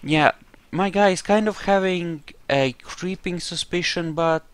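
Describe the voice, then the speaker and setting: A man's voice: high-pitched, unhurried, clear, resonant tone, no room sound. A man giving casual roleplay playthrough commentary, musing hesitantly as he thinks aloud about what his character believes. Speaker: gaming video host.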